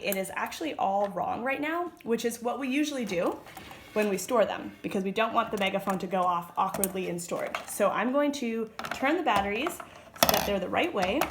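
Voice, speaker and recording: Echoey, distant woman giving informal instructions. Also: mid-range voice. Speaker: instructor, activist. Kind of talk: informal how-to demonstration, explaining step by step. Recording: roomy echo, distant mic, background noise.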